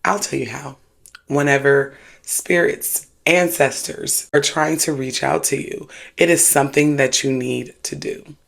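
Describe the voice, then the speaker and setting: Creaky-voiced, sassy video host. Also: mid-range voice, fairly even intonation, measured pace, fairly bright tone. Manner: sassy, slangy, emphatic. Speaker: YouTube host and spiritualist storyteller. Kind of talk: casual commentary with read-aloud paranormal stories.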